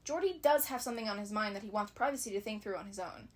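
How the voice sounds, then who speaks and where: A woman's voice: medium pitch, fast talker. A woman giving conversational scripted commentary as a fan video essayist, analytical and opinionated with wry asides.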